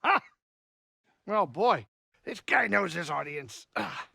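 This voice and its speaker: Gruff wisecracking alien pilot, bright forceful voice, very animated swings, anxious, kindhearted, folksy, video game character. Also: male voice, fairly high pitch, slow, no echo, studio quality, exaggerated voice. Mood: wry, grumbling. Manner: expressive, folksy, wry grumbling.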